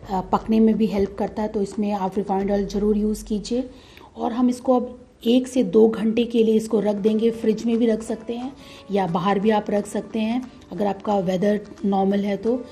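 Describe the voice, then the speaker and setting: A woman giving calm, step-by-step cooking instructions as a voice-over, with frequent "uh" fillers. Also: medium pitch. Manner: calm, instructive, many "uh" fillers, mixes English words into Hindi. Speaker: cooking channel host. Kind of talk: recipe voice-over while cooking.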